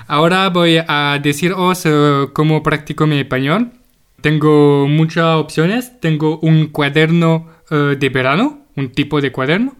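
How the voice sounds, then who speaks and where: A man's voice: fairly high pitch, unhurried, clear, resonant tone. A man speaking learner Spanish informally and hesitantly, as if leaving a voice message for a show he follows. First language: French. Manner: hesitant, searching for words. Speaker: podcast listener sending a voice message.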